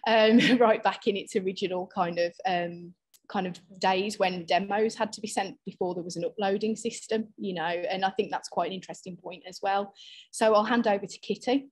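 A woman's voice: mid-range voice, steady tone, moderate pace, slightly rough. A middle-aged woman hosting a panel discussion over a video call, talking with many fillers and restarts. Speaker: panel host.

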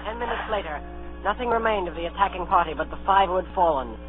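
Boy adventurer, earnest dramatic narration, high voice, tinny old radio sound, music underneath. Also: animated, fast. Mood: grave, steady.

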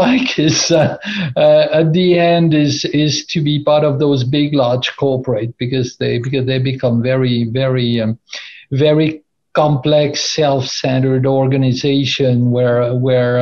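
A man's voice: mid-range voice, a little mellow. A man in his fifties from France, speaking English with a French accent as an interview guest on a video call from his office, often repeating words and laughing between phrases. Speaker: company CEO guest. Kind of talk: conversational interview. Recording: slightly dull video call audio.

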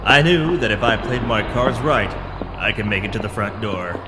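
A man's voice: lowish voice, expressive, normal speed, big-room sound, natural voice. A man playing a boastful macho adventurer hero in a theatrical, hard-boiled pulp radio serial style. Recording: music behind the voice.

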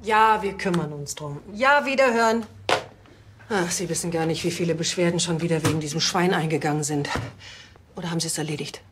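An actress playing a stern police chief in a crime comedy, dry, commanding and sarcastic. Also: female voice, lowish voice, a little fast, background noise, close to an everyday voice. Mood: impatient. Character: stern, sarcastic female police chief, impatient with her men.

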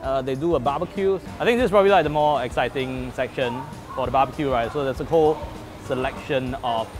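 A man in his thirties with a Singaporean accent hosting a casual food show outdoors by the sea, relaxed and joking. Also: mid-range voice, fast. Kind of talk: casual food vlog chat. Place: outdoors at a seaside restaurant. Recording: background music under the voice.

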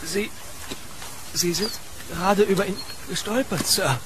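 An actor playing a polite, worried young hotel employee in a horror audio drama. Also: male voice, sits high, measured pace, background noise. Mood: puzzled. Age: young adult. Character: anxious, deferential young hotel employee calling after a guest.

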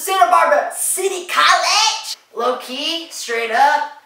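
A swaggering young bro acted by a woman auditions for a reality show in a comedy sketch. He is cocky and slangy, with a forceful edge, in a very echoey room. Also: high-pitched. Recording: echoey room, distant mic.

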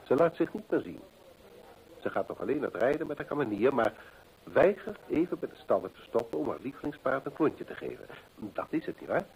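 An actor playing a keen, methodical gentleman detective in an old radio play, deliberate and rhetorical as he reasons aloud. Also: mid-range voice, sing-song, creaky, poor audio. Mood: analytical, intent.

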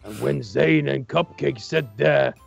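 A man playing an excitable young man in a Minecraft roleplay, reading silly fan fiction aloud with a gravelly voice. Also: medium pitch, measured pace, faint music behind, heavily acted. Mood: dramatic storytelling. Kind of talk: hammy storytelling in Minecraft roleplay.

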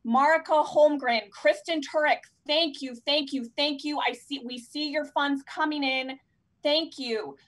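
A woman's voice: high voice, fairly even intonation, a little slow, a bit bright. Effusive young woman thanking donors. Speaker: fundraising host. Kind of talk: live fundraiser hosting. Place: indoors, plain room at home. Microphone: handheld mic on video call.

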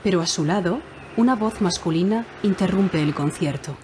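A woman narrating a Spanish learners' audiobook in a clear, measured reading style. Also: fairly low pitch, darkish tone, slight background noise.

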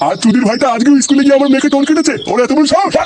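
A man's voice: very high-pitched, rapid-fire, a little mellow, a little hiss or hum. A man voicing an enraged, threatening father who rants shrilly and profanely in a crude comedy cartoon. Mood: furious.

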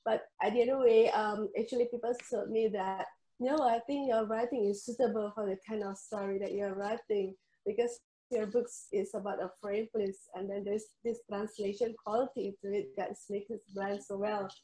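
Young indonesian-accented woman on a video call, mellow voice, soft and thoughtful, roomy echo. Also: medium pitch, fairly even intonation, normal speed. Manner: soft, thoughtful, frequent ums and laughs. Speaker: novelist guest. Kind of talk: casual interview answers. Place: indoors room at home. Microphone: headphones on video call. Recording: echoey video call audio.

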